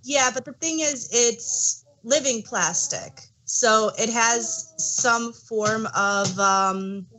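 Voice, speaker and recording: A woman co-hosting an audio drama review, chatting casually and explaining her points in a measured way. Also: fairly high pitch, pushed and bright, slight background noise, poor audio. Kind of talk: casual chat.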